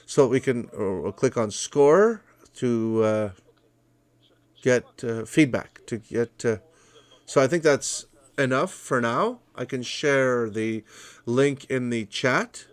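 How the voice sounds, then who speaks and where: A man teaching at a college, walking through a software demo step by step in an online presentation over a video call. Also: medium pitch, takes it slowly, dry recording. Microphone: video call microphone.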